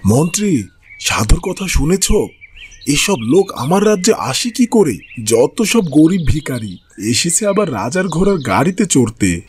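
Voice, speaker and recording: A man's voice: a little high, sing-song, unhurried, slightly rough, full character voice. A man voicing an arrogant, stingy and irritable king in a fairy-tale cartoon, haughty and commanding. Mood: scornful, annoyed.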